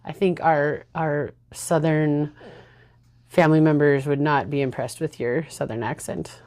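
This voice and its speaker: A woman's voice: low-pitched, fairly even intonation, measured pace, a little mellow. A middle-aged woman in casual family banter as a podcast co-host, with a teasing, mock-exasperated manner. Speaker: podcast co-host, parent of the other speaker.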